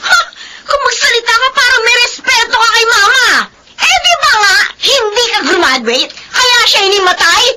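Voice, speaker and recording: Fiery young mom in pinoy radio drama, super high voice, noisy behind. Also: female voice, lively intonation, moderate pace. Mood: furious. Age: young adult. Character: hot-tempered, combative young mother and elder sister. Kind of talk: Filipino radio drama acting.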